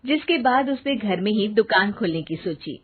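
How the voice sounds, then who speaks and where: A woman's voice: mid-range voice, animated, moderate pace, breathy edge, narrow, tinny audio. A woman giving scripted narration for a cartoon family story.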